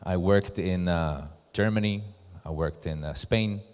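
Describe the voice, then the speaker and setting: Male presenter, Slovak accent, lower register, deliberate emphatic phrasing, live stage talk with long hall reverb. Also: darkish tone, smooth. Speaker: presenter, brand ambassador. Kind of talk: conference presentation. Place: large hall at a live event.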